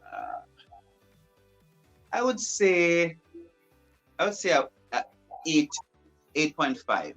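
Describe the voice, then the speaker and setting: A man's voice: on the high side, wildly swooping pitch, slow pace, vocal fry, pauses often, fairly bright tone, soft background music. A young man, a broadcast journalist, answering interview questions casually and often repeating and restarting his phrases. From Jamaica.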